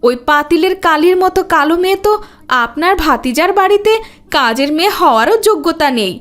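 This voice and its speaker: A woman's voice: extremely high pitch, expressive, fairly quick, fairly bright tone. A woman playing a cruel, scheming stepmother in a cartoon drama, scornful and wheedling. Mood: dismissive. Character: cruel, scheming stepmother pushing her own daughter.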